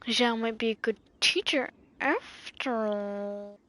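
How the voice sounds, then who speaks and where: A woman voicing a tiny floating fairy girl companion, chirpy and nosy, with a squeaky game voice. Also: takes it slowly. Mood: musing. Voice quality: high, squeaky and bouncy. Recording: loud game music behind.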